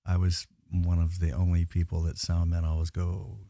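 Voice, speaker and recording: A man guesting on a bar podcast, telling rambling stories with a naturally low voice and many fillers. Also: monotone, normal speed, creaky, warm tone, no room sound, clean studio sound. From Pacific Northwest US. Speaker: guest singer. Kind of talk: casual podcast chat.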